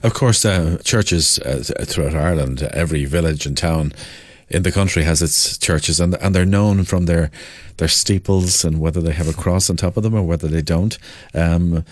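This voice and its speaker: Low-voiced male radio interviewer. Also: moderate pace, hardly any pauses, no room sound, clean studio sound.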